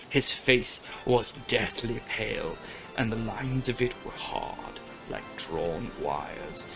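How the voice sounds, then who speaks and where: A man narrates gothic fiction slowly over clear music. His reading is theatrical and intense, swinging widely in pitch and often slipping into breathiness. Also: low-quality recording. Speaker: audiobook narrator.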